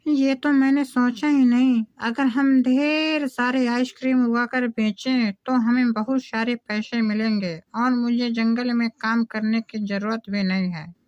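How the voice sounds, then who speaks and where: A woman's voice: a little high, fairly even intonation, unhurried, few pauses, darkish tone, dry recording, lo-fi sound, lightly acted. A woman voicing a poor, earnest village mother in a cartoon moral story, speaking plainly. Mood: eager. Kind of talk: Hindi animated moral story acting.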